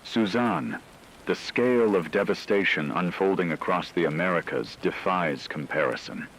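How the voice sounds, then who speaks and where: A man acting as a radio news anchor, delivering scripted breaking news in a grave, formal, measured manner. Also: low voice, a bit bright, a little hiss or hum, rough recording. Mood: grave, urgent. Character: grave male radio newsman reporting an apocalypse.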